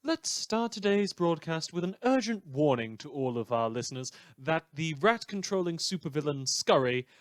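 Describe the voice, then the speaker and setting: Male actor as sardonic superhero-world newscaster, slightly high voice, theatrical, very dry close recording. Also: big pitch swings, moderate pace, hardly any pauses, dry recording. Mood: wry. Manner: sarcastic, theatrical, dry asides. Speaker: radio news host. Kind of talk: scripted comedic news broadcast.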